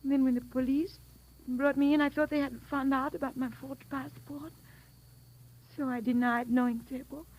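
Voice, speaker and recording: A woman's voice: high voice, fairly even intonation, moderate pace, darkish tone, natural voice, low-quality recording. A woman playing an anxious, guarded foreign fugitive in an old radio drama, in hesitant, formal English with nervous repetitions. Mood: anxious, confessing. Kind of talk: 1940s-50s radio drama acting.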